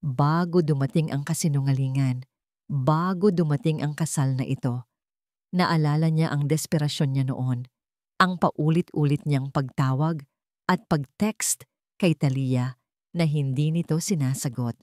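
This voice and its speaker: Female story narrator, Filipino accent, low register, dry recording, scripted dramatic delivery. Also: unhurried, darkish tone, no echo.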